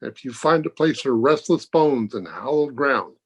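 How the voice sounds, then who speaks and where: A man's voice: medium pitch, lively intonation, normal speed, slightly rough. A man playing a solemn teller of an old ghost legend, grave and storylike, in old-time radio drama style. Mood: grave, cautioning.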